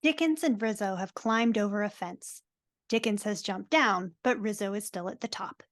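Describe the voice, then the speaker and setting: A woman's voice: medium pitch, moderate pace. A woman reading stage directions as the narrator of a live dramatic reading.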